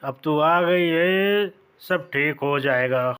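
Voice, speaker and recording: A man acts out an anxious older village uncle in an animated horror tale. He speaks slowly and fretfully, addressing listeners like his own children, with a fearful, fatalistic air. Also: fairly high pitch, smooth. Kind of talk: animated horror story dialogue.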